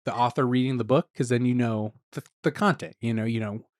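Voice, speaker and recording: A man hosting a podcast interview, talking in a relaxed, laid-back way and laughing often. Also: medium pitch, brisk, no room sound, studio quality. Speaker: podcast host. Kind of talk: casual interview chat.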